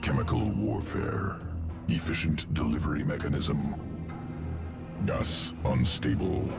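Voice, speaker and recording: A man voicing a cold, clinical alien creature in a video game, gravelly, speaking in clipped, detached, analytical fragments. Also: a bass voice, expressive, slow pace, loud music behind the voice, exaggerated voice. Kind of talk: video game character dialogue.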